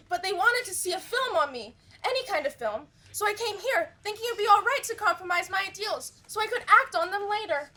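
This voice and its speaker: A woman's voice: extremely high pitch, animated, brisk, bright, forceful tone, exaggerated voice. A teenage girl acting in a school play as an earnest, ambitious young actress. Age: teens. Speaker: student actor.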